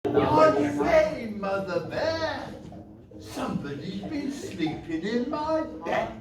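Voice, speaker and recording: A man playing a big, jolly Father Bear in a stage pantomime, with drawn-out theatrical delivery. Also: very high voice, animated, over a noisy crowd, exaggerated voice. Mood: booming, puzzled. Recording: echoey hall from far off, muffled, music.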